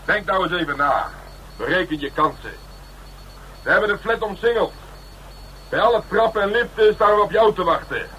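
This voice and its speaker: An actor playing a tough, sardonic police detective who taunts bluntly in a radio crime drama. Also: male voice, sits high, expressive, moderate pace, pauses often, pushed and bright. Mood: taunting, firm. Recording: noisy old radio drama recording.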